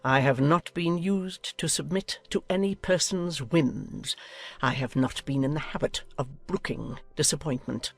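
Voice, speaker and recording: A woman's voice: deep voice, a little slow, soft background music. A woman playing a haughty, domineering older noblewoman in a dramatized audiobook, speaking with imperious, declamatory emphasis. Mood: angry.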